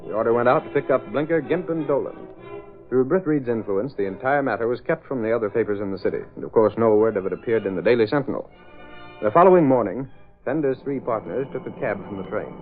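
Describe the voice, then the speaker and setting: A man plays a formal, emphatic radio serial narrator, speaking quickly at medium pitch. Music plays prominently. The recording is narrow and old. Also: expressive, close to an everyday voice. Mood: urgent, formal. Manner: formal, emphatic announcer delivery. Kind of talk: 1930s-40s radio drama scripted narration. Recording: phone-like vintage radio recording.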